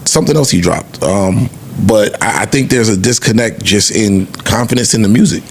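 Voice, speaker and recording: A man's voice: fairly low pitch, moderate pace, a little hiss or hum. A man co-hosting a podcast, talking casually and emphatically in slangy, conversational speech about the music business. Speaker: podcast co-host. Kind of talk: casual music-industry discussion.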